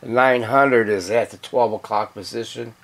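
A middle-aged man giving a casual, halting, folksy hands-on demonstration, thinking aloud at a workbench. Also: medium pitch, steady tone, creaky. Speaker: radio restorer demonstrating a repair. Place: cluttered indoor electronics workbench. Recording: loud background noise and radio hum.

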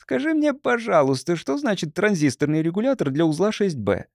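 A man's voice: high voice, sing-song, a little fast, creaky, no echo, studio quality, pitched above the performer's own voice, exaggerated voice. A man voicing a sarcastic, mocking young monk with needling, falsely polite speech. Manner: needling, feigned politeness, exclamatory.

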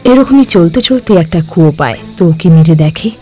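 A man's voice: fairly high pitch, expressive, moderate pace, a little mellow, faint music behind. Man narrating a cartoon folk tale. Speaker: storyteller narrator. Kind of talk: scripted storybook narration.

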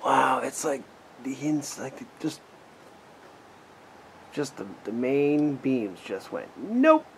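American man, casual vlog narration, lively swooping pitch, creaky voice, wry muttered asides. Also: takes it slowly, long pauses. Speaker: urban explorer vlogger. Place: inside and behind a derelict abandoned house. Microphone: handheld camera mic. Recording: noisy handheld camera audio.

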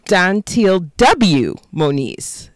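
A woman's voice: lowish voice, big pitch swings, very slow, dry recording. An American woman co-hosting a podcast, chatting casually and conversationally about books. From Jacksonville, United States. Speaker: podcast host and author. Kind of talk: casual book chat.

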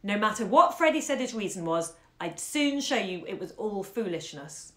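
A woman's voice: medium pitch, lively intonation, normal speed, lightly acted. A woman voicing a busy, exasperated mother who scolds sharply and impatiently. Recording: roomy echo.

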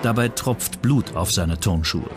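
A man's voice: on the low side, lively intonation, a little slow. A man reading scripted true-crime documentary narration in pronunciation. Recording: music bed under the voice. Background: background music.

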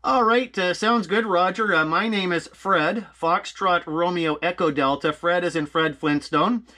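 A man's voice: high voice, unhurried, bright, forceful tone. A man talking casually as an amateur radio operator and video host. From Canada. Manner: spells out call signs in phonetic alphabet. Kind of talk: casual narration and ham radio chat.

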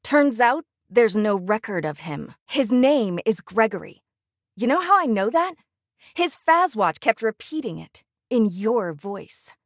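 A woman's voice: a little high, very animated, a little slow, no echo, studio quality, full character voice. A young woman playing a stern, irritated night security guard in a horror video game, exasperated and sometimes sing-song when coaxing. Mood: defensive, frustrated.

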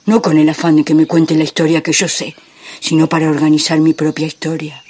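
A man's voice: fairly high pitch, flat delivery, brisk, a little mellow, husky. A man playing a brooding, melancholy husband in a poetic theatrical monologue. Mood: brooding, insistent. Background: music.

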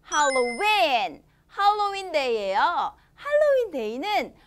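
A young woman teaching a children's language lesson, expressive and enunciating slowly for young learners. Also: high-pitched. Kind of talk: children's language lesson, switching between Korean and English.

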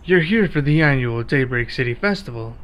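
A man acting a one-sided ASMR roleplay as a laid-back, friendly werewolf local, speaking casually and in a measured way with pauses. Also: mid-range voice, animated.

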